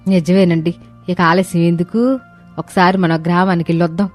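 A woman's voice: lowish voice, fairly even intonation, moderate pace, natural voice. A woman playing a middle-aged mother who is firm and insistent with her son. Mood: agreeable. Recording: background music under voice.

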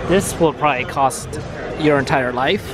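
A man in his thirties hosting a casual vlog at an outdoor car auction, talking excitedly to a handheld camera. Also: medium pitch, normal speed. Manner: excited, enthusiastic. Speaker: vlog host. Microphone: handheld camera at arm's length. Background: crowd chatter and music.

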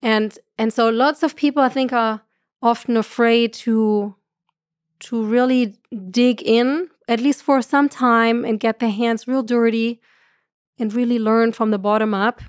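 Podcast guest, German-accented woman, middle-aged, expressive and earnest, dead-dry studio sound. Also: fairly high pitch, a little slow, no echo. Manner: earnest, gestures a lot, laughs often. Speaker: guest astrophysicist. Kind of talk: podcast conversation. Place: room with curtains. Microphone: studio mic on boom arm.

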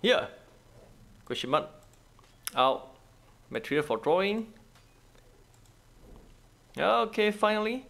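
A man who is not a native English speaker, giving a casual gameplay walkthrough in halting, repetitive short phrases. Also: high voice, very animated, gravelly, a bit bright. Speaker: gaming guide host.

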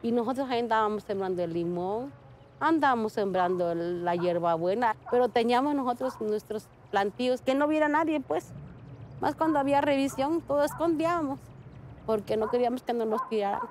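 An older woman tells her memories in plain, somewhat hesitant speech. Her voice sits a little high, with faint background noise. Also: fairly quick, a little mellow. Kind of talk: casual interview recollection.

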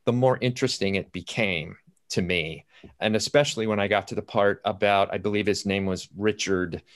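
Older male playwright, lowish voice, casual anecdotal delivery on a video call. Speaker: playwright, panelist. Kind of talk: casual storytelling on a panel. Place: indoors at home on a video call.

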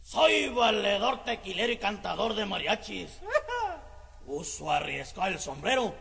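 Creaky high man in boastful comic patter. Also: expressive, a little slow, pushed and bright, big-room sound, soft background music. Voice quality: laughing, boastful. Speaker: mariachi performer. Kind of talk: comic spoken mariachi recitation.

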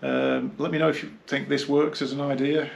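Guy in his 50s rambling about old gear, gravelly low voice, bit of room noise. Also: little pitch movement. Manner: rambling, halting, reminiscing. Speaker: hi-fi dealer and reviewer. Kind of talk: casual unscripted product review. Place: small carpeted indoor room, seated.

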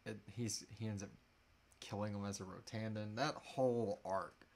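Low-voiced man chatting casually. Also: steady tone, normal speed, vocal fry, a little mellow. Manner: joking, informal. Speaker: host of a live Q&A, audio drama creator. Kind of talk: casual chat, answering audience questions. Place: small room at home, indoors, seated.

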